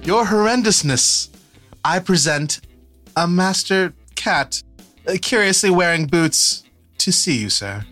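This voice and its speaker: A woman's voice: lowish voice, animated, slow pace, fairly bright tone, soft background music. A woman voicing a haughty castle servant in a storybook, formal and droll. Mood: formal announcing. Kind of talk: children's storybook character voice.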